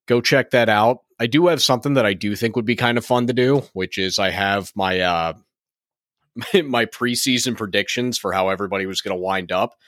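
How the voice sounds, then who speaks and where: A man hosting a solo podcast, his voice a little hoarse and raspy, talking in a rambling way with lots of fillers and restarts. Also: lowish voice, a bit bright, no room sound, studio quality. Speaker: podcast host.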